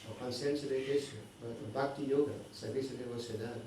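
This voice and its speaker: A man giving a scripture class, often pausing to search for words and checking in with his listeners with a questioning tag. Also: medium pitch, fairly even intonation, warm tone. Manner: searching for words, constant "¿no?" tags. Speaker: spiritual teacher. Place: indoor temple hall. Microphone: microphone at a distance. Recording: very echoey hall, distant mic, faint noise.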